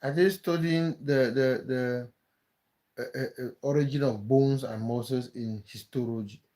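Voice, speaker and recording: A man's voice: medium pitch, fairly even intonation, a little slow, dark tone. A man from Cameroon, hosting a casual live stream, chatting with viewers and often repeating words as he thinks aloud. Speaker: livestream host.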